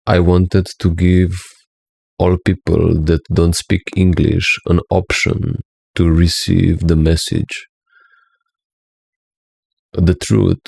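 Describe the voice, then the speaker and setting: A man's voice: deep voice, little pitch movement, slow, creaky, long pauses, darkish tone, breathy edge, dry recording, studio quality. A man from Slovenia with a Slovenian accent, speaking English as a second language in an earnest, preachy monologue as a spiritual teacher, often checking in with "right?".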